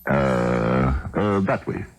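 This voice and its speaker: A man's voice: a bass voice, animated, extremely slow, soft background music, exaggerated voice. A man acting in a 1970s radio drama as a shifty, nervous traveler who stammers and bluffs with false casualness. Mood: nervous bluffing. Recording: noisy 1979 radio broadcast recording.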